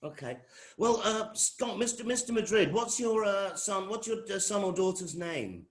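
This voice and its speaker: A man's voice: high-pitched, animated, normal speed. Rambling middle-aged Englishman. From England. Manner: rambling, repeats phrases and restarts sentences. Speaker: college lecturer hosting an information session. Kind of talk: informal welcome talk to prospective students.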